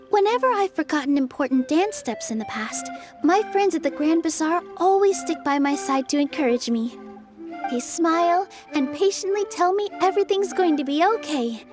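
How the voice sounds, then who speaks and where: Sweet, very high-voiced young dancer. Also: female voice. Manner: soft-spoken, reassuring. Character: gentle, kind, encouraging young woman dancer. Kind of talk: video game cutscene acting. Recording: music bed behind voice.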